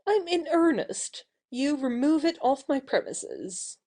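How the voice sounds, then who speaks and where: Lively, wilful young coquette, acted by a woman. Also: sits high, full character voice. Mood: firm, indignant. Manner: coquettish, brisk. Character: playful, wilful young actress, teasing her suitor. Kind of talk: audio play acting.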